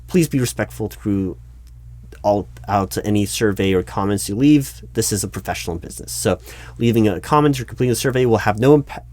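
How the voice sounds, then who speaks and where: A man playing an earnest, slightly flustered recruiting manager in an interview roleplay, speaking in wordy, halting corporate jargon. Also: lowish voice, a little mellow, dry recording, lightly acted. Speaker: roleplay performer. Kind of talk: one-sided interview roleplay, soft-edged office talk.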